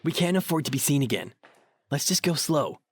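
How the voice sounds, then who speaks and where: Guy acting young cocky ghost hunter, sarcastic and bossy, very animated, audio drama. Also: a little high, a little fast, slightly rough. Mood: urgent. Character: young, wry, self-assured male paranormal investigator.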